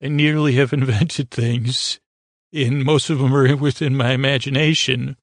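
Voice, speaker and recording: A man with a creaky voice hosting a bedtime podcast in a soothing, meandering, hesitant monologue full of stammers and tangents. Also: medium pitch, fairly even intonation, measured pace, no room sound, clean studio sound. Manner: soothing, lulling delivery. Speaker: podcast host.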